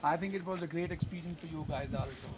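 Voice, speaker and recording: A man's voice: on the high side, fast. A man working as a tour guide, interviewing a guest outdoors with hesitant phrasing and many fillers. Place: outdoors in the mountains. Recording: noisy outdoor ambience.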